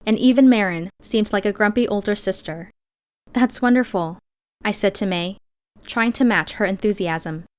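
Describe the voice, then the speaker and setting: A woman's voice: mid-range voice, moderate pace. A woman reading scripted first-person fiction as an audiobook narrator.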